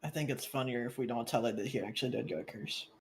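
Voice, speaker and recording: Casting: man with an average-pitched voice, joking gamer table talk, faint noise behind. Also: fast talker.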